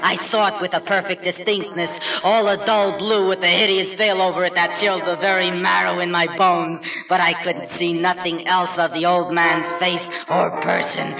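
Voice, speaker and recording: An actor playing a gleeful, unhinged murderer who insists he is sane, in a theatrical horror-story reading with halting, swinging delivery. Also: high-pitched, vocal fry. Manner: chuckling, halting, swinging from hushed creeping to frenzied. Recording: roomy echo, distant mic, music underneath. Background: music.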